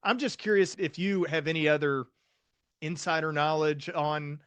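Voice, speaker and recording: A man hosting a conversational interview as a fellow psychiatrist, speaking in a measured, thoughtful way. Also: a little high, steady tone, a bit bright, smooth, no echo. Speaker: podcast host, psychiatrist.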